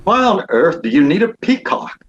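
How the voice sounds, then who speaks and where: A man acting a stuffy, incredulous young gentleman in a period comedy read, on a dull lo-fi microphone. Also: high-pitched, sing-song, normal speed.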